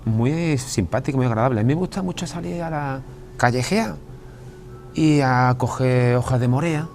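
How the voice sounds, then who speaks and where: A man's voice: medium pitch, moderate pace, vocal fry, a little mellow, slight background noise. An Andalusian man, a writer being interviewed, talking casually with frequent restarts and repeated words. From Andalusia, Spain. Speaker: guest writer. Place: television studio.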